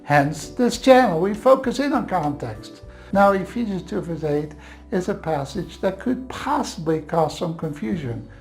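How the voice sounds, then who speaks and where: A man's voice: high-pitched, lively intonation, measured pace, soft background music. Dutch-accented man teaching Bible grammar. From Netherlands. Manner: earnest, explanatory, reassuring asides.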